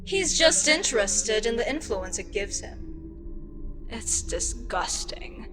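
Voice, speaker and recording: A woman voicing an ancient, weary eldritch deity in an intimate ASMR roleplay, speaking deliberately with pauses and breathy, whispery touches. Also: fairly high pitch, sing-song, music in the background. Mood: disgusted, exasperated. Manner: slow, deliberate, pausing, drifting into breathy whispers. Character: ancient eldritch deity, female, weary, frustrated, wistfully amused by mortals. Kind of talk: ASMR audio roleplay, intimate scripted monologue.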